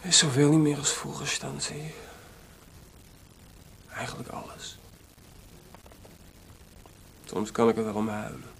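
An actor playing a bitter, boastful, maudlin drunken composer in a Dutch radio drama, with a theatrical, volatile, rambling delivery. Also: male voice, mid-range voice, unhurried, vocal fry, pauses often, a bit bright, slight background noise. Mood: melancholy, tender.

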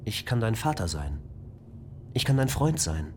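A man's voice: fairly low pitch, very animated, measured pace, darkish tone, a little hiss or hum. An actor playing a polite male ship computer AI in a radio play, speaking formally and logically. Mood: even. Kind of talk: radio drama acting.

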